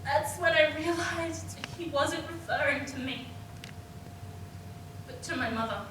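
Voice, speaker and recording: An anguished young woman, acted by a woman in a stage monologue, speaks slowly in a high voice with heavy dramatic pauses. Her theatrical delivery is heard from far off in a very echoey, noisy room. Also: expressive. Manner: halting, dramatic pauses, swinging from hushed to screamed.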